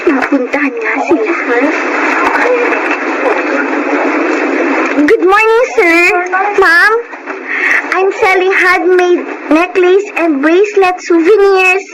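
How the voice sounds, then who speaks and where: A woman's voice: very high-pitched, slow pace, heavy background noise. A woman playing a polite, hardworking thirteen-year-old girl in a Tagalog radio drama, earnest and devoted. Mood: hopeful, eager. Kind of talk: Tagalog radio drama acting with first-person narration. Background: music.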